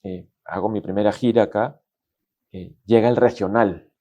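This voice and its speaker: Peruvian exec, middle-aged, Peruvian Spanish accent, loose colloquial storyteller, mellow voice. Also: male voice, fairly low pitch, moderate pace, dull audio. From Peru. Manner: relaxed, colloquial, acts out quoted dialogue. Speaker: guest executive. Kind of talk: casual interview storytelling.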